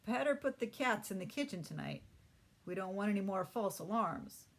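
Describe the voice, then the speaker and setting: Woman reading a kindly, slightly husky storybook father. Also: medium pitch, animated. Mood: amused. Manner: measured, encouraging. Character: kindly, fair-minded father of young children.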